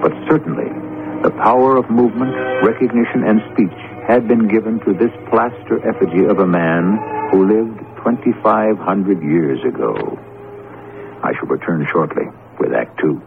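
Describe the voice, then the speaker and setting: A man narrates a 1970s radio drama in a deep, creaky voice with dramatic rises and falls. His phrasing is deliberate and portentous, over music on a muffled broadcast.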